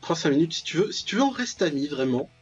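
Husky man doing ironic game commentary. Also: on the high side, sing-song, quick pace, muffled recording, soft background music. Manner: ironic, reacts aloud and paraphrases on-screen text. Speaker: let's player, channel host. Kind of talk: casual joking gameplay commentary of a visual novel.

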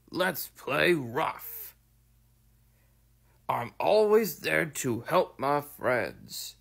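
Guy doing a rough cartoon rhino, gruff n husky, talks slow, wants a fight. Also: fairly high pitch, sharp tone, exaggerated voice. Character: tough, protective, combative rhino. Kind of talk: scripted character voice lines.

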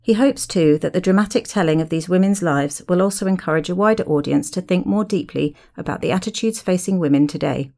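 A woman's voice: lowish voice, a little fast, gravelly, few pauses, dark tone. A woman hosting a podcast, putting interview questions and reading scripted narration.

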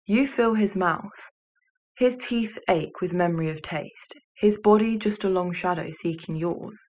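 A young woman recites poetry in a somewhat low voice. Her delivery is measured and serious, recorded on a device in a bedroom. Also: a little mellow, a little hiss or hum, rough recording. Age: teenager or young adult. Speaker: student poetry reader.

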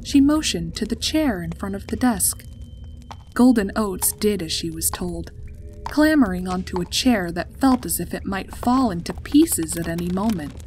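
A woman's voice: mid-range voice, lively intonation, moderate pace, darkish tone, slight background noise. A woman reading a scripted story as its narrator. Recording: music behind the voice. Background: background music.

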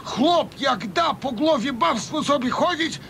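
An actor playing a grumpy, opinionated old bachelor pensioner in a radio comedy, with a folksy Podlasie accent from eastern Poland. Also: male voice, animated, measured pace, sharp tone, full character voice. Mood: scornful, grumbling. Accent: eastern Polish, Podlasie regional. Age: older. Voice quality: creaky, high-pitched. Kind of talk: radio comedy serial acting. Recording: rumbly, noisy radio recording.

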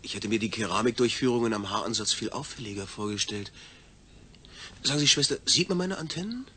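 Fragender Astronaut mit heller, tiefer Stimme. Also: male voice, on the low side, animated, a little fast, bright, forceful tone, a little hiss or hum. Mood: relieved, curious. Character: astronaut Ingolf Stein, uneasy brain-surgery volunteer, inquisitive. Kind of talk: German radio play acting.

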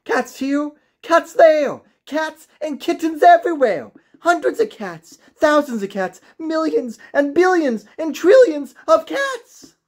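A storybook reader voicing a fussy, fluttery very old woman in a high, exclaiming voice. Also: animated, normal speed, few pauses, natural voice. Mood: exasperated. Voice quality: high, squeaky. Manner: exclaiming, sing-song.

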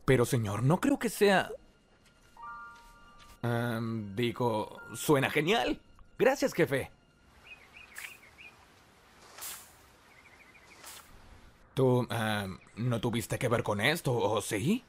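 A man playing an anxious, hapless office tech worker and dad in a cartoon dub, with an excitable manner. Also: medium pitch, slow. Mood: hesitant, relieved. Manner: excitable, panicky, swinging into shouts. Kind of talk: cartoon fan dub acting. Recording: music behind the voice.

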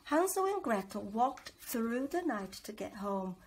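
A woman reads a children's storybook with lots of expression. Her voice is high and lively, with a slightly husky edge. She takes her time over each phrase. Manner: slow, animated, dramatic. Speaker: teacher reading a storybook aloud. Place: living room, on a sofa.

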